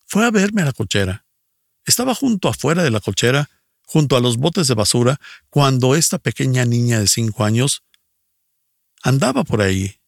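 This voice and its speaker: A middle-aged man tells his own story as a prepared testimony. His delivery is earnest and measured, with a gravelly creak in the voice and a dry, close sound at an average male pitch. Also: no echo. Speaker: church speaker giving a personal testimony.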